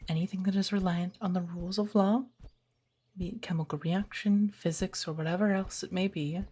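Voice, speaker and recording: Woman playing a strict but patient witch teacher, asmr roleplay, breathy husky voice, dark and relaxed, birds chirping in the background. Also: on the low side. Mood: measured, instructive. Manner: measured, formal, instructive, commanding. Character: stern, patient witch mentor of the woods. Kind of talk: ASMR roleplay addressed to the listener as apprentice. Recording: birdsong behind the voice. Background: birdsong, woodland ambience.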